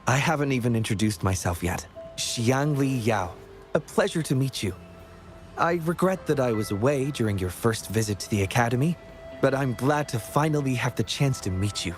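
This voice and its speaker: A man playing a gentle, modest young scientist in a video game, speaking in a polite, measured, reassuring way. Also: mid-range voice, expressive, faint music behind, lightly acted. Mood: polite, warm. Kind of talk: video game character acting.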